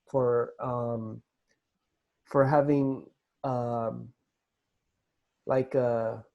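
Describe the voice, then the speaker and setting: Guy talking real slow with lots of ums, warm mellow voice, explaining stuff. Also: medium pitch. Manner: slow and hesitant, many fillers and restarts. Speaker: guest explaining Polynesian culture. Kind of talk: casual explanatory talk.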